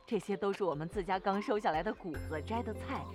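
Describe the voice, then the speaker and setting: A woman's voice: medium pitch, fast talker, close to an everyday voice. Woman as a generous villager, music behind. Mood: friendly. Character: warm, generous female villager offering food. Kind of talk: costume audio drama acting.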